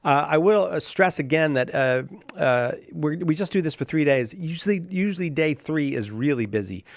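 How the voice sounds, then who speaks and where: A man's voice: fairly high pitch, lively intonation, normal speed. Upbeat male radio host. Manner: upbeat, joking, emphatic appeals. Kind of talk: live radio hosting, fundraising appeal.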